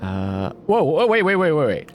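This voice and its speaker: A man giving let's-play commentary, reading game text aloud dramatically and thinking out loud as he plays. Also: on the high side, very animated, moderate pace. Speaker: video game streamer playing a narrative game. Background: music behind the voice.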